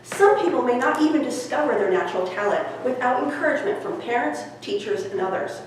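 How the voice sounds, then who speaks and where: In a very reverberant room, a woman reads nonfiction from a little way off the mic. Her voice is fairly high and she phrases each point deliberately, like an author explaining her own book. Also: expressive. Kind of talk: scripted audiobook-style reading of nonfiction. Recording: very echoey room, distant mic, background noise.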